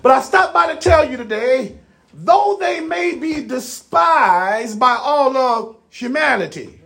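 Animated high-pitched preacher man, gravelly edge. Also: slow. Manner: rhetorical, emphatic preaching with repeated phrases and direct appeals to listeners.

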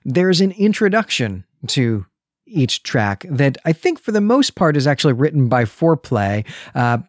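A man's voice: mid-range voice, no room sound, clean studio sound. A man hosting a podcast, talking casually and enthusiastically as he gives a review. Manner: enthusiastic, effusive. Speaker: podcast host.

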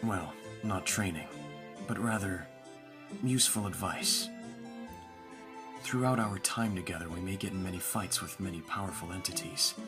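Man playing a low-voiced, composed vampire master. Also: over music. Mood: calm, instructive. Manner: measured, formal, instructive. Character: male vampire master, protective, composed, proud. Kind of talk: ASMR audio roleplay.

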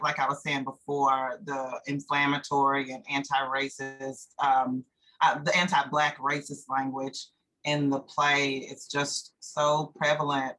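An American woman from North Carolina, a theatre director, answering interview questions reflectively and haltingly as she searches for words. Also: extremely low pitch, pushed and bright, rough recording.

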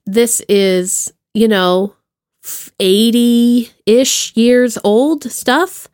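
A woman's voice: fairly high pitch, fairly even intonation, drawn-out words, darkish tone, no room sound. Enthusiastic woman podcast host, close dry sound. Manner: enthusiastic, playful. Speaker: podcast host interviewing a guest. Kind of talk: casual chatty interview.

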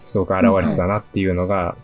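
Fast-talking young man, low voice. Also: flat delivery. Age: early 20s. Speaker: radio staff member giving a film pitch. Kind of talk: casual but polite radio presentation. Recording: music playing behind the voice.

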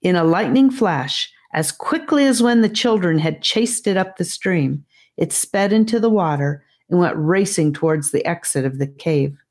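A woman reading a fantasy story as an audiobook narrator, in a measured storybook manner. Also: on the low side, animated, a little mellow.

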